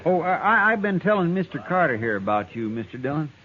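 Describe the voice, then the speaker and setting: A man's voice: on the high side, very animated, quick pace, a little hiss or hum. A man playing an eager, deferential, talkative marshal's sidekick in a 1950s radio western, in a folksy manner. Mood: eager, chatty. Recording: dull old radio, crowd behind.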